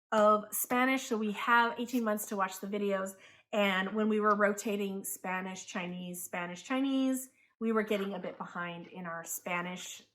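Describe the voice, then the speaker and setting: Chatty homeschooling mom. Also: female voice, medium pitch, bright, forceful tone. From Taiwan. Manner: chatty, corrects herself mid-sentence. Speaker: homeschooling parent and channel host. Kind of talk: casual talk to camera.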